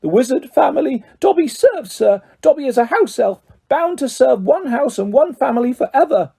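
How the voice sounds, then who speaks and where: A reader voicing a small, servile male house elf with a high, squeaky voice who talks about himself in the third person. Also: big pitch swings, normal speed, vocal fry, warm tone, slightly rough, full character voice. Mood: earnest, pleading. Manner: speaks of himself in third person, adds "sir".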